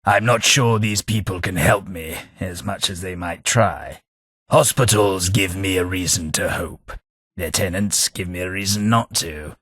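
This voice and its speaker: An actor playing a gentle, hapless English gentleman and former clerk, with a soft, slightly husky voice and a mild, wry, self-deprecating manner. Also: low voice, measured pace, no echo, exaggerated voice. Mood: dry wry. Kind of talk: game character voice lines. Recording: dry studio booth.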